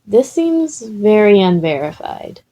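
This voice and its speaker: A woman's voice: mid-range voice, big pitch swings, takes it slowly, warm tone. A woman voicing an earnest, nerdy teenage boy, cautious and eager, in a fan fiction reading. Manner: nervous, eager.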